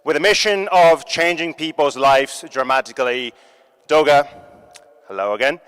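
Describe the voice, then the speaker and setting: Man from Japan, startup pitch, lively and joking, smooth voice, hall reverb. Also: moderate pace. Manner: upbeat, joking with the audience. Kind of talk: competition pitch presentation. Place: large hall.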